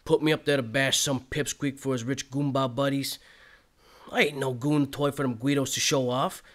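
A man's voice: mid-range voice, fairly even intonation, normal speed, a bit bright, lightly acted. A man playing a reluctant, hot-headed young boxer in a crime drama, defensive and streetwise, stammering when cornered. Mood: sullen, bitter. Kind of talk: period crime drama acting.

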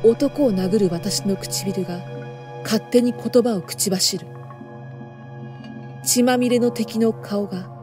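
A woman performing a first-person horror story narration in a theatrical, intense manner, moving between hushed and emphatic delivery. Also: mid-range voice, takes it slowly, long pauses, over music.

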